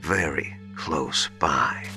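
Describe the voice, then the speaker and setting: An actor playing a smug, mocking villain in a video game cutscene, drawing out words theatrically. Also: male voice, extremely low pitch, music in the background, full character voice. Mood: taunting. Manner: drawn-out, gloating, theatrical delivery. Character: scheming villain posing as a trusted mentor, smug and mocking.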